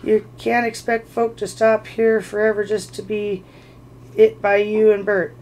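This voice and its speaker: A storyteller voicing a gruff male troll who grumbles, drunk on beer. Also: mid-range voice, completely flat delivery, measured pace, a little mellow, natural voice, low-quality recording. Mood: grumbling.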